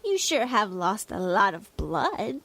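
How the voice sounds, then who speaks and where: A woman's voice: mid-range voice, big pitch swings, a bit bright, slightly rough, heavily acted. A woman voicing a domineering, mocking female leader of a savage tribe in a comedic fantasy audio drama. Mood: mocking, menacing. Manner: mocking, imperious, drawn-out delivery.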